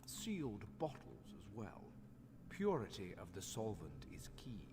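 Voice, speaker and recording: An actor voicing a haughty, scholarly master craftsman in a fantasy video game, speaking in a formal, measured, instructional way. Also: on the low side, wildly swooping pitch, a little mellow, slightly rough, heavily acted. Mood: instructive. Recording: long hall reverb, faint music behind.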